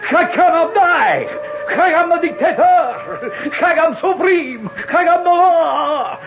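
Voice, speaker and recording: A man's voice: very high voice, lively intonation, takes it slowly, faint music behind, exaggerated voice. An actor playing an arrogant, cowardly male dictator in a radio melodrama, pompous and pleading. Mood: raging defiance. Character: arrogant, cowardly tyrant dictator, a man unravelling in panic. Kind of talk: melodramatic radio drama acting. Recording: dull lo-fi radio recording.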